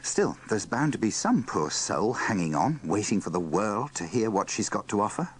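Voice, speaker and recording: A man's voice: on the low side, sing-song, normal speed, slightly rough. A man presenting a scripted comedy compilation, linking the pieces with wry, tongue-in-cheek narration. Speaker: presenter of a radio bloopers compilation.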